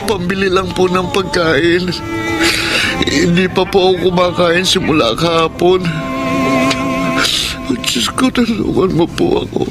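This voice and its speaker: Casting: humble homeless young man in radio drama, plaintive halting delivery, heavy music underneath. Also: high-pitched. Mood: desperate, pleading. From Negros, Philippines (the figure's home). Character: destitute, pleading young man begging and seeking work. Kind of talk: Filipino radio drama acting.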